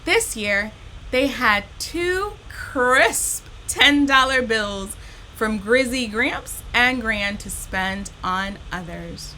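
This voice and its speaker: Bright-voiced, unhurried woman reading to children. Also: fairly high pitch, a little hiss or hum, low-quality recording. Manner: addresses young listeners directly, unhurried and animated. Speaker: storytime host reading a children's picture book. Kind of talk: expressive children's story reading.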